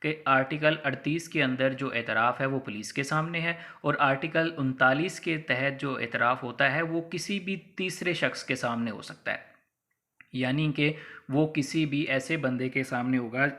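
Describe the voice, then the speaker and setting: Bright-voiced Pakistani man giving a lecture. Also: medium pitch, moderate pace. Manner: didactic, conversational, acts out hypothetical examples. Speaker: law lecturer. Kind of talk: explanatory lecture with English legal terms mixed in.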